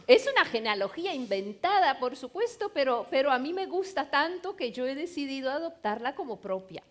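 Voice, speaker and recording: Lively high-voiced Romanian-born woman novelist. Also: a little fast. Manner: animated, lively storytelling with playful asides. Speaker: novelist on a panel. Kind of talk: conversational panel talk.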